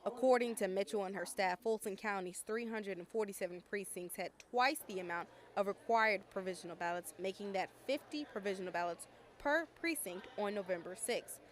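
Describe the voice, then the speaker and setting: A woman's voice: medium pitch, a bit bright, slight background noise. A woman with an American accent reads scripted narration as a television news reporter.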